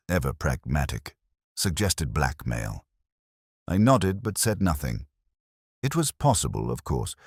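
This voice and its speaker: A man voicing a reflective, wry middle-aged detective, narrating a mystery audio drama in the first person with a measured, literary delivery. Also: extremely low pitch, a little mellow, no echo, studio quality, full character voice.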